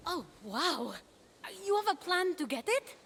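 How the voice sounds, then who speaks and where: A woman playing an eager, plucky young mortal hero in a video game, excitable and earnest, with music behind her. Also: very high-pitched, unhurried, a little hiss or hum, exaggerated voice. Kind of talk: animated video game voice acting.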